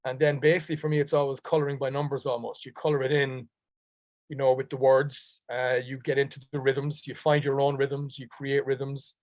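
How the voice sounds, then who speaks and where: An actor from Dublin with a Dublin accent, chatting easily in an interview with frequent ums. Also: male voice, medium pitch, little pitch movement, fairly quick, a little mellow, dry recording. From Dublin, Ireland. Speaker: actor guest. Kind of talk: conversational interview answers.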